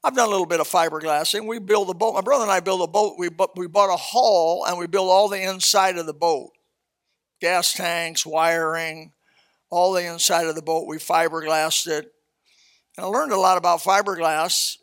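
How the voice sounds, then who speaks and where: A man's voice: sits high, steady tone, normal speed, fairly bright tone. A seventy-year-old man preaching a casual, blunt sermon, reading scripture and talking plainly to his congregation. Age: 70s. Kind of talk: casual sermon, Bible exposition.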